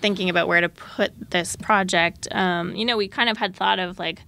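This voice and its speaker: A woman's voice: on the low side, steady tone, moderate pace, creaky, pushed and bright, a little hiss or hum. A woman speaking as a podcast producer in a casual interview, tentative and hedging, sprinkling in "like" and "you know".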